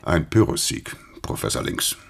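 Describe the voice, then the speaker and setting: An actor playing a grave older German physics professor in a radio drama, speaking in a husky, deliberate, pointed way. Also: male voice, close to an everyday voice. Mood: weary, emphatic.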